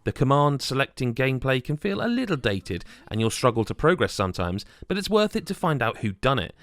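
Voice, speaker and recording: A man's voice: medium pitch, big pitch swings, a little fast, dry recording. A man reading a scripted video game review as the reviewer.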